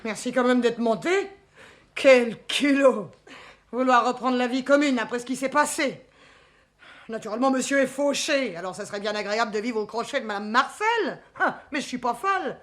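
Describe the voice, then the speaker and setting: An actress playing a sharp-tongued, indignant middle-aged café owner with a scolding, haughty manner. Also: female voice, on the high side, expressive, moderate pace. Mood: indignant, gossipy.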